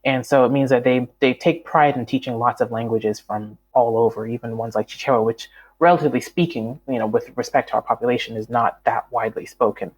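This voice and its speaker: Canadian-raised woman guest, husky tone, reflective, rambling with fillers. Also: a bass voice, fairly even intonation, fairly quick, darkish tone, poor audio. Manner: reflective, many fillers and restarts. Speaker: podcast guest, writer. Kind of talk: conversational interview.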